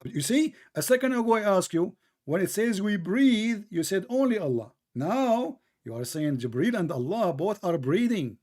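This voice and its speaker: High-pitched, confrontational man with Arabic accent. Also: big pitch swings, normal speed, few pauses, darkish tone. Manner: confrontational, mocking, insistent questioning. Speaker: debate host. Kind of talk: heated religious debate.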